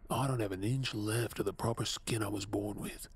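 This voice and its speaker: An Australian man acting a tormented, guilt-ridden captive mutant in an audio drama, speaking in a husky, breathy, subdued and halting way. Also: lowish voice, fairly even intonation. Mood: anguished resolve.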